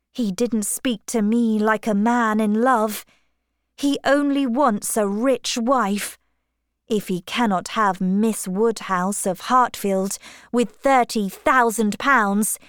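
A woman voicing a proud, composed young gentlewoman in an audiobook, formal and firm. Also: a little high, clean tone, no echo, studio quality. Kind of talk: audiobook character dialogue.

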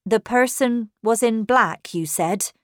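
A troubled, kindly woman of a storybook tale, put on by a woman narrator, with a raised pitch and unhurried pace. She sounds doubting and protective, asking things in an earnest way. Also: dry recording, studio quality. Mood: troubled, questioning.

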